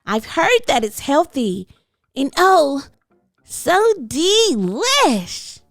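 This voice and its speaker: A woman's voice: high-pitched, sing-song, drawn-out words, no echo, studio quality, soft background music, pitched above the performer's own voice, heavily acted. A woman voicing a tiny, eager little girl who makes polite but bossy demands in a children's storybook. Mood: eager, insistent. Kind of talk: children's storybook read-aloud.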